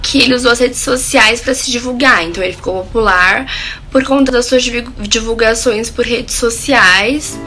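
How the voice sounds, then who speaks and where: A woman's voice: a little high, normal speed. A young Brazilian woman, a student, answering in a composed, straightforward way. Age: young adult. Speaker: student interviewee. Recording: music bed underneath.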